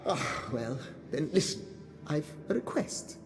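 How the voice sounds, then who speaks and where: The bickering ghost of a gambling nobleman in a high, wildly swooping voice. He sounds indignant and exasperated, and the game audio carries a long reverb with clear music underneath. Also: sing-song, unhurried. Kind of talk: video game voice acting.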